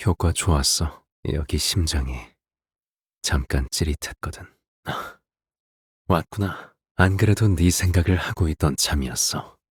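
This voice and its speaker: An actor playing a calm, menacing middle-aged assassin father in a video game, speaking gently and without hurry to his daughter. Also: very deep voice, breathy edge, in a large hall, full character voice. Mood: wistful, quiet. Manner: gentle, unhurried, eerily calm, paternal. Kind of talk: video game character voice lines.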